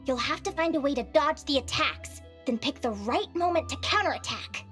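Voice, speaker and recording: A woman acting as an upbeat, self-assured young advisor in a video game, speaking in a friendly, expressive, conversational way. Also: high-pitched, normal speed, over music. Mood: focused.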